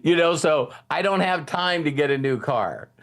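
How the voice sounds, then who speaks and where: A man with a slightly high voice speaks to a group as host of a motivational talk. His delivery is informal and conversational, and he often checks that listeners are following him. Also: expressive, normal speed, fairly bright tone.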